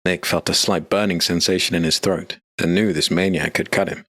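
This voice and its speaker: A man narrating a scripted dark fantasy story as an audiobook narrator. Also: low voice, flat delivery, fairly quick, dry recording, clean studio sound.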